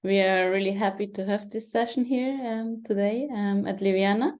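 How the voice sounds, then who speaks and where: A woman's voice: medium pitch, moderate pace, darkish tone. A woman PhD candidate introducing a conference session on a video call, hesitant with many ums. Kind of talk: conference presentation. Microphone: computer mic on video call.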